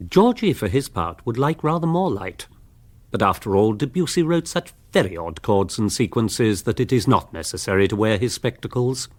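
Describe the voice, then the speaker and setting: A man playing a wry storyteller narrating a radio social comedy, British, arch and husky. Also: on the low side, lively intonation, normal speed, a little mellow. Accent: British English. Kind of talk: scripted narration of radio drama.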